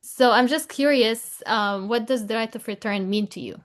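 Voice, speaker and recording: A young woman from Lebanon hosting an interview podcast, soft and thoughtful, often agreeing as she listens. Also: fairly high pitch, moderate pace. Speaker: podcast host. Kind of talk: casual interview.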